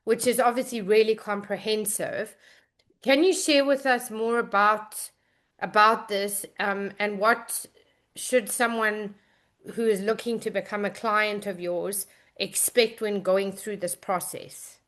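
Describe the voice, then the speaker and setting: A woman's voice: medium pitch, fairly even intonation, measured pace, a bit bright. A woman hosting a podcast interview, asking questions and answering with friendly, short agreements. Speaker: podcast host.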